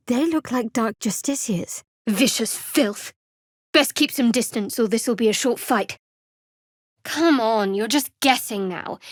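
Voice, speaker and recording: A woman playing a guarded, sardonic young female cleric adventurer in a fantasy video game, with a dry, wry, measured delivery. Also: fairly high pitch, dry recording. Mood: watchful, businesslike.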